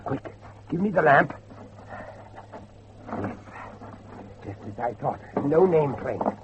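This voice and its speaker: An actor playing an anguished middle-aged father and judge, intense and halting, in a horror audio drama. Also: on the high side, lively intonation. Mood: panicked horror. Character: anguished older father, a judge hunting his lost son. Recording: muffled lo-fi recording with faint noise.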